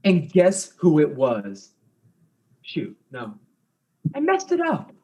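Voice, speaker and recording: A woman playing a bumbling, excitable amateur sleuth in a comic mystery, flustered and dramatic. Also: sits low, slow, muffled recording, heavily acted. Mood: excited, flustered.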